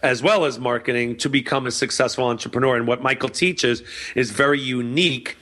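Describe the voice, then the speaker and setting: A man hosting an interview, energetic, outgoing and loud by his own account. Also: medium pitch, brisk. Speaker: host, marketing event organizer. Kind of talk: interview host.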